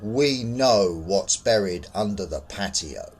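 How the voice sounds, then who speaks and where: A man's voice: on the low side, animated, takes it slowly, slight background noise, voice pushed down. A man voicing a cold young female counter clerk in a horror story, bland and condescending as she states doom. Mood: cold, blunt.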